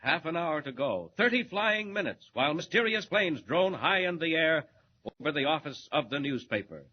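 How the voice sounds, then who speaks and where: A man's voice: fairly high pitch, big pitch swings, moderate pace. Old-time radio announcer guy, swoopy dramatic voice, muffled w/ hiss. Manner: urgent, suspenseful delivery.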